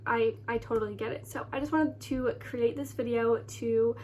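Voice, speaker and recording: A young American woman in her early twenties talks casually to her viewers in a fairly high voice. She sounds a little stuffed up and nasal, as if with a sore throat, and rambles in a chatty vlog style. A faint noisy hum sits behind her. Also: steady tone, moderate pace, warm tone. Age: early 20s. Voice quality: slightly nasal, congested. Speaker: lifestyle vlogger.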